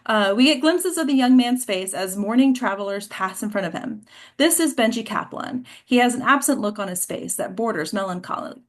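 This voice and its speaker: A woman's voice: a little high, animated, moderate pace. A woman hosting a table read and narrating a screenplay's stage directions. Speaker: host and stage directions reader.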